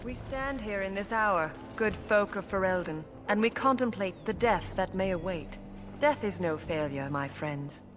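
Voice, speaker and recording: An actress playing a solemn priestess in a video game, preaching in a measured, oratorical way. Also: female voice, mid-range voice, lively intonation, music in the background, close to an everyday voice.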